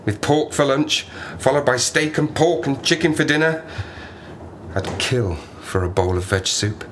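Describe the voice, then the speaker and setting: An actor playing a stranded Arctic survivor in an audio play, a sardonic, haunted man who talks haltingly and rambles in agitation. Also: mid-range voice, close to an everyday voice. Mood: wry, rambling. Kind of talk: dramatic audio play monologue. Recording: noisy ambience behind the voice.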